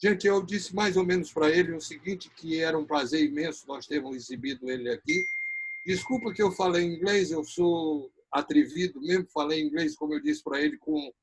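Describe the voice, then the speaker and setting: A man from Ceará, Brazil, with a Brazilian accent, hosting an online talk show and giving a formal welcome. He talks haltingly, searching for words, with many pauses. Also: on the high side, expressive, husky, rough recording. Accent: Brazilian Portuguese, from Ceará. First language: Portuguese. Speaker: host.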